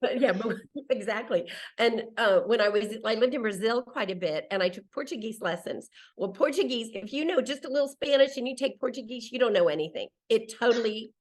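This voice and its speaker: A woman's voice: on the high side, animated, a little fast. A woman in her sixties, a native English speaker, tells stories casually as an interview guest, often interrupting herself and acting out quotes. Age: 60s. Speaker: interview guest, solo traveler. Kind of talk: casual conversational storytelling.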